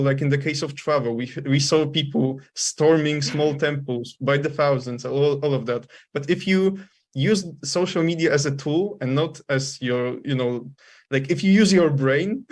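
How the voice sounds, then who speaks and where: A man speaking unscripted as a debate panelist, halting and searching for words. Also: fairly high pitch.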